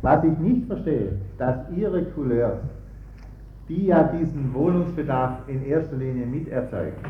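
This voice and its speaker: Loud music over dull, echoey sound; man speaking haltingly, off the cuff. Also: medium pitch, expressive. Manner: halting, repeats himself. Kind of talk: unscripted spoken remarks. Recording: roomy, dull recording under loud music.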